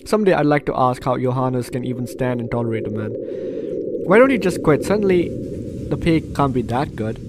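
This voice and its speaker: A man's voice: mid-range voice, lively intonation, fairly quick, very noisy. A man hosting a let's play, reading a visual novel aloud and breaking off into chatty, reactive asides. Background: faint game music.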